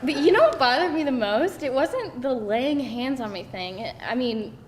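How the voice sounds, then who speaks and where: A woman's voice: high-pitched, normal speed. A woman acting a candid, wry young woman telling stories in a live screenplay reading. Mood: indignant, amused. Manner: animated, hesitant storytelling peppered with laughter. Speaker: actor at a screenplay table read. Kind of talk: conversational live script reading. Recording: echoey room with faint noise.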